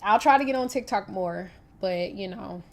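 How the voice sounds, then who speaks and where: Young Southern woman, North Carolina accent, congested, chatty livestream host, mid-pitched. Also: normal speed. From Raleigh, North Carolina. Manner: chatty, talks straight to her viewers. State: congested, stuffy nose. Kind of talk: casual livestream chat.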